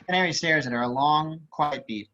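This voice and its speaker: A man's voice: a little high, moderate pace, bright, forceful tone. A man narrating stage directions for a screenplay table read over a video call. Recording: slightly dull video-call audio.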